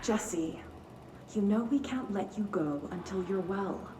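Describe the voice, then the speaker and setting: A woman playing a calm, detached psychiatrist in a game, asking measured, probing questions. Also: mid-range voice, natural voice. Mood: composed, probing. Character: calm, clinical female psychiatrist questioning a patient. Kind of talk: video game cutscene acting. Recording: roomy, distant, noisy.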